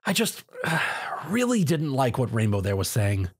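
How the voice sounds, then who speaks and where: A man's voice: mid-range voice, sing-song, moderate pace, breathy edge. A man voicing a wary, casual young male hero with a dry manner in a story.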